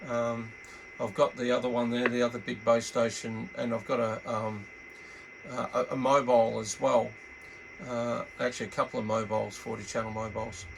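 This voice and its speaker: A middle-aged or older Australian man giving a casual, unscripted product walkthrough in a laid-back, halting, rambling way. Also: mid-range voice, level tone, vocal fry, background noise. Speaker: hobbyist radio reviewer.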